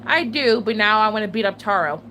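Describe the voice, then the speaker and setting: A woman talks casually and loosely to her viewers. Her voice sits at an ordinary middle pitch and carries frequent cursing. A clear, steady noise runs behind her the whole time. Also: a little fast. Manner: chatty, swears often, laughs between remarks. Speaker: channel host.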